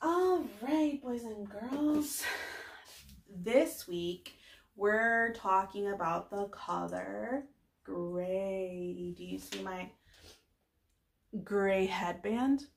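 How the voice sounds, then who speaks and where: A young woman, a preschool teacher, giving a lesson to small children in deliberate short phrases with pauses. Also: medium pitch, vocal fry, a little hiss or hum. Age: young adult. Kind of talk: slow lesson for young children, filmed read-aloud greeting. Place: small indoor classroom-style room with bulletin board. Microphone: camera a few steps away. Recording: roomy echo, distant microphone.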